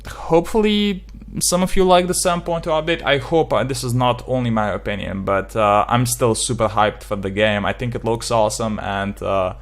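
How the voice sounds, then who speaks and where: A man's voice: mid-range voice, steady tone, normal speed. A young man from Slovenia with a Slovenian accent, giving his opinion in a casual gaming vlog, rambling with lots of fillers and swearing freely. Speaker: gaming video creator.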